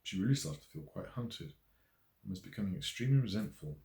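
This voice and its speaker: A man reading a novel as its author in a measured, literary way with expressive emphasis. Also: on the low side, creaky. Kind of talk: scripted audiobook narration. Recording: roomy, echoey voice recording.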